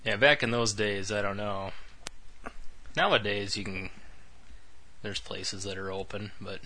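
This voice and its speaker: Very bright, wry male co-host. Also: on the low side, steady tone, measured pace. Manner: rambling, wry, sarcastic asides. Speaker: podcast co-host. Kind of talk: casual podcast chat.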